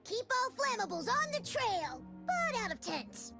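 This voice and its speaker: A woman's voice: very high voice, very animated, measured pace, vocal fry, bright, forceful tone, faint music behind, heavily acted. A woman voicing a tiny, eager, furry scout troop leader in a video game. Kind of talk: video game character voice lines.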